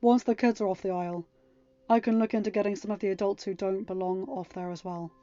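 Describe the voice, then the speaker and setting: A woman's voice: medium pitch, steady tone, quick pace, dark tone, no room sound, muffled recording, natural voice. A woman voicing a kind but firm young king in a storybook, with a courteous, decisive and formal manner. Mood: warm.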